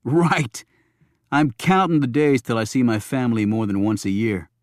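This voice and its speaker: A man's voice: medium pitch, normal speed, no room sound, clean studio sound, natural voice. A man playing a wisecracking, weary Secret Service agent in an audiobook, joking in a colloquial way. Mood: wry complaining.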